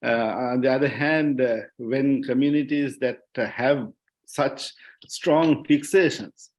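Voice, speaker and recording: A Sri Lankan man speaking thoughtfully on a discussion panel, reflective and halting as he searches for words and repeats phrases. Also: medium pitch, steady tone, a little mellow. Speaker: panelist, journalist and writer. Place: online discussion call.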